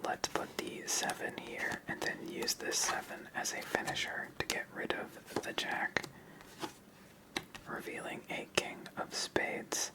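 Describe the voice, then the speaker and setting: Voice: unhurried, low-quality recording. An ASMR host speaks entirely in a whisper. The delivery is a methodical card game walkthrough, explaining each move step by step.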